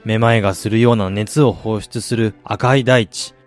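A man acting in a radio drama as a driven businessman and husband, telling his life story in a measured, reflective way. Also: fairly low pitch, fairly even intonation, lightly acted. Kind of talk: radio drama monologue, literary narration. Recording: music bed behind the voice.

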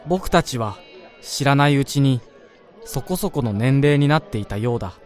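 A man's voice: lively intonation, moderate pace, faint music behind, a faint crowd, natural voice. A man acting in a radio drama as a reserved, detached friend who narrates and talks in an understated way. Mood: reflective. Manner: understated, low-key reactions.

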